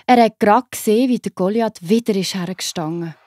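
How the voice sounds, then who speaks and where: A woman's voice: medium pitch, brisk, darkish tone, no room sound. A woman with a Swiss German accent narrating a scripted children's story expressively.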